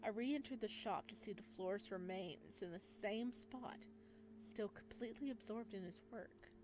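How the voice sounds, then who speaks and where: A woman reads a spooky visual novel aloud, mixing narration with her own quick comments. Her voice sits at a middling pitch and jumps suddenly higher when she reacts. A steady noisy hiss runs underneath. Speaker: game streamer.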